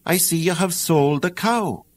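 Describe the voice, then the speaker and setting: A man with an Irish accent voicing a poor, anxious old Irish mother in a read-aloud fairy tale, with a raised, fretful pitch. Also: measured pace, close to an everyday voice.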